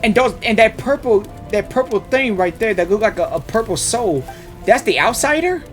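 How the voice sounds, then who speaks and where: Gaming reaction host, lively intonation, casual, hesitant, repeats phrases, faint music behind. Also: medium pitch, normal speed. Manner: hesitant, repetitive, musing. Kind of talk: casual off-the-cuff game reaction commentary.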